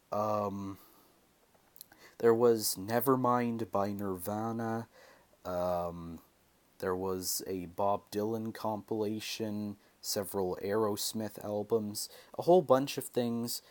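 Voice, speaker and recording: A man's voice: on the low side, a little mellow. A young man talks casually to camera in a hesitant, rambling way, like a hobby vlogger. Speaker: video creator showing collection. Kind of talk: casual vlog talk to camera.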